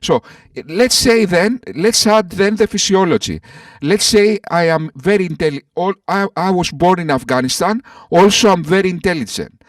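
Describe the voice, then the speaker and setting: A man's voice: high voice, normal speed, few pauses, no room sound, clean studio sound, distant mic. Stammering man arguing over voice chat. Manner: repetitive, stammering, addresses others as sir. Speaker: atheist debater. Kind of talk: online voice-chat debate. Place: voice chat call.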